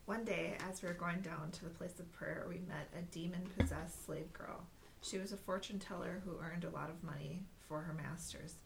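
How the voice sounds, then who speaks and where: Congregant woman, deep and gravelly, reads the passage steadily. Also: steady tone, normal speed, very noisy. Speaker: congregant reading scripture. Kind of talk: reading aloud from the Bible.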